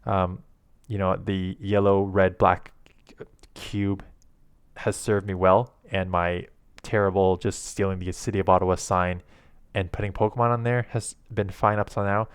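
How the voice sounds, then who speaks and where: A man, rambling casually to camera in a monotone, low-key way on an old headset mic at his home desk. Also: a little slow, clean tone, no room sound, studio quality. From Canada. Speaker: YouTube content creator. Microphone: old headset microphone.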